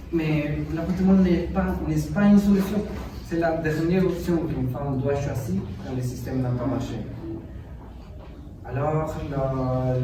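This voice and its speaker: A man playing an earnest United Nations delegate in an amateur news sketch, formal and halting. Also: on the high side, lightly acted. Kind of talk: amateur classroom dramatisation of TV news. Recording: very echoey room, distant mic, loud noise.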